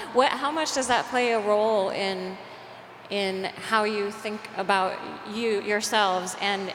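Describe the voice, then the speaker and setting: A woman's voice: medium pitch, a little hiss or hum. Woman moderating a panel, lots of mm-hmms then a question, echoey big room. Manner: warm affirming, lots of mm-hmms. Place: large conference hall stage. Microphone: handheld mic. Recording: echoey hall, faint ambience.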